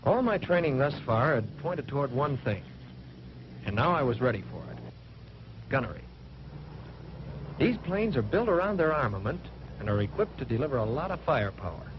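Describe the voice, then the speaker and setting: An American man playing an earnest fighter pilot trainee, narrating a 1940s training film in measured, matter-of-fact phrases, on an old film soundtrack. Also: mid-range voice, big pitch swings, close to an everyday voice. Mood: earnest, composed. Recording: muffled, noisy vintage 1940s film soundtrack.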